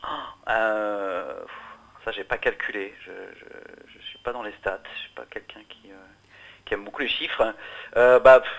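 Hesitant low gravelly-voiced man. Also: steady tone, measured pace, fairly bright tone, rough recording. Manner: hesitant, many restarts and fillers. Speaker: musician guest. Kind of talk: interview.

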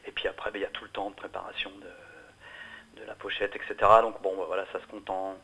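Middle-aged man, low voice, gravelly, halting, restarts a lot, interview guest. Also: flat delivery, sharp tone, poor audio. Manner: hesitant, many restarts and fillers. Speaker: musician guest.

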